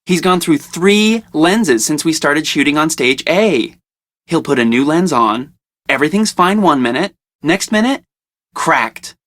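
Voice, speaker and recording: A gossipy crew member on a movie set for an adventure game. The voice is medium in pitch and dryly recorded, chattering in short, punchy phrases. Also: lively intonation, a little slow, a bit bright, no room sound. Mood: chatty. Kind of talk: scripted adventure game dialogue.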